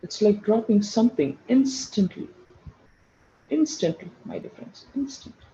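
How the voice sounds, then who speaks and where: A man leading a guided meditation, giving slow, soothing instructions and repeating short phrases for emphasis. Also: high voice, animated, dark tone, muffled recording, a little hiss or hum. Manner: repeats phrases for emphasis, addresses listeners as friends.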